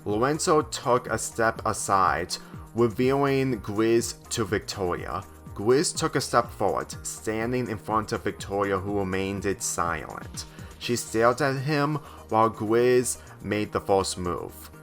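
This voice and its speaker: A man's voice: lowish voice, animated, slow, fairly bright tone, faint music behind. A man reading a scripted story aloud, narrating and voicing the dialogue lines himself. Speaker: fan fiction reader.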